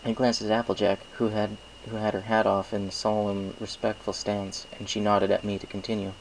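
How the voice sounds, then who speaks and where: A man's voice: fairly low pitch, little pitch movement, normal speed, vocal fry, slight background noise, poor audio. A man reading first-person fiction as an amateur audiobook narrator, now and then stumbling over phrases.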